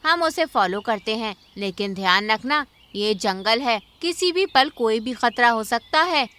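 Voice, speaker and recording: A woman's voice: fairly high pitch, animated, unhurried, bright, forceful tone. A woman voicing a cautious, determined female bird in a cartoon, with an earnest, urgent way of talking. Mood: wary. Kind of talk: cartoon character acting.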